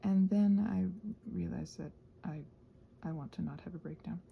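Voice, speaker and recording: A woman rambling casually as a video host, thinking aloud and trailing off, her voice husky and breathy and often sinking toward a whisper. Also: lowish voice, moderate pace, narrow, tinny audio, a little hiss or hum. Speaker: video host and ASMR creator. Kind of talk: casual rambling craft chat.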